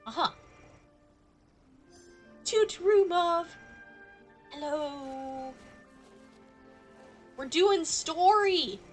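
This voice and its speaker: Slow-paced, high-voiced woman streaming. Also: lively intonation. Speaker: game streamer. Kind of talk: casual live-stream game commentary. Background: game music.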